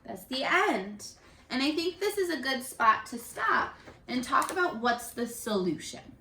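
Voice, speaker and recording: Female read-aloud teacher for young kids: highish, very animated delivery, expressive and instructive, addressing the children directly. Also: unhurried, a little hiss or hum. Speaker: teacher reading a picture book aloud. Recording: echoey room, distant microphone.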